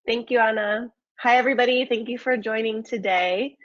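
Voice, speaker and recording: A woman's voice: fairly high pitch, steady tone, normal speed, a bit bright, dry recording. American woman presenting, dry dull sound. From United States. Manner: friendly, many ums and uhs. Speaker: market manager presenting a webinar. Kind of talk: webinar presentation.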